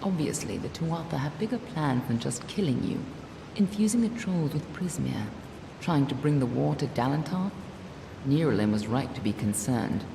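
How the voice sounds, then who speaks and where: A woman's voice: animated, moderate pace, distant mic. An actress playing a world-weary, sardonic female fighter in a video game, with a dry and terse manner. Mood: sardonic, grim. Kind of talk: video game character dialogue. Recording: roomy echo, clear background rumble noise.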